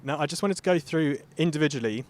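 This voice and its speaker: Quick-talking male radio interviewer. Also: on the high side. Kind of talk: upbeat celebrity interview questions.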